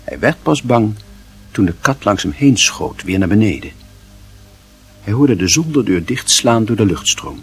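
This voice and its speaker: A man's voice: lowish voice, sing-song, normal speed, faint music behind. A man reading literary fiction as a narrator, in a dramatic, scripted storytelling style.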